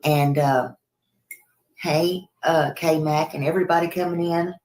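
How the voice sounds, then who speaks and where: A woman with a low voice chats casually on a livestream. She rambles a little and circles back to repeat herself as she addresses her viewers. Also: fairly even intonation, unhurried, a little mellow, low-quality recording.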